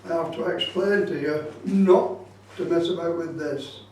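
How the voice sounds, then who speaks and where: A man's voice: on the high side, measured pace, darkish tone. A man giving an informal hands-on club talk, explaining things and repeating his safety warnings insistently. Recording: very echoey room, distant microphone.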